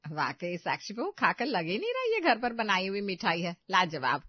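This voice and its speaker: A woman's voice: mid-range voice, very animated, moderate pace, no room sound, dull audio. A woman voicing a proud older mother-in-law in a family story, chatty and fond of giving instructions. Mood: impressed.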